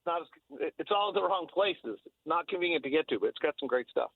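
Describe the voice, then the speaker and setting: A man's voice: fairly high pitch, expressive, fast talker, slightly rough, no room sound. An American man, a sports broadcaster guesting on radio, chatting casually in an effusive, rambling way. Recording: thin, phone-like line.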